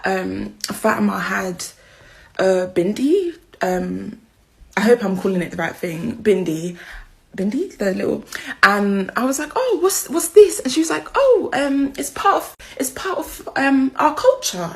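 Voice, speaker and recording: A woman's voice: medium pitch, expressive, unhurried, gravelly, rough recording. A young woman with a British accent telling a casual story, chatty and slangy, acting out bits of dialogue. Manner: chatty, slangy, self-mocking. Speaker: video creator.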